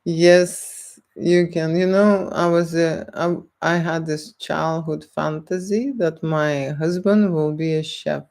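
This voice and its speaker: A woman speaks English with a Lithuanian accent, taking her time with each thought. She is a photographer fielding questions from an interviewer. She often pauses to check in with a quick "okay?". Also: sits low, fairly even intonation, darkish tone. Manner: deliberate, keeps checking in with "okay?". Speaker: erotic photographer. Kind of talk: street interview answers.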